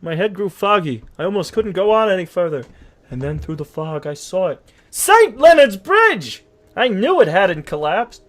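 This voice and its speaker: A man's voice: high voice, sing-song, normal speed. A man voicing a jittery, guilt-ridden talking horse who tells his tale theatrically in a cartoon fandub. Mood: dramatic narration. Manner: theatrical storytelling with stammers. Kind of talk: dramatic fandub narration.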